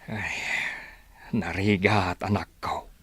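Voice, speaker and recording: A man playing a patient, gentle, resigned village father in a radio drama. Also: low voice, fairly even intonation, slow, breathy edge, no echo, heavily acted. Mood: weary patience.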